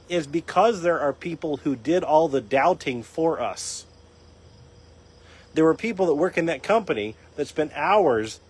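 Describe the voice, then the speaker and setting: A man hosting a live faith and recovery talk, his voice a little raspy, explaining in an earnest teaching tone with frequent restarts. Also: on the high side. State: raspy voice from dryness. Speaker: host. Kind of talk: casual talk on a live stream.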